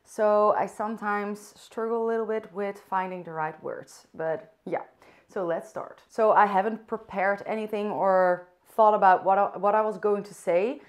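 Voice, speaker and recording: A young woman from the Netherlands with a Dutch accent, speaking English as a second language in a soft, thoughtful personal vlog, pausing to search for words. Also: mid-range voice, fairly even intonation. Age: young adult. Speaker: vlogger. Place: bright room indoors by a window.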